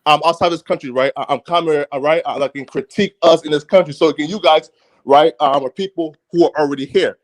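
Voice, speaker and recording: Halting, higher-pitched man debating. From outside the United States. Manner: halting, repeatedly adds "right". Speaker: panelist in a group debate. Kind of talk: casual debate.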